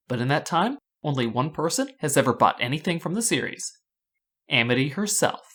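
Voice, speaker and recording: Lively low-voiced woman, slightly husky. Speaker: video host. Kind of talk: scripted fan analysis narration.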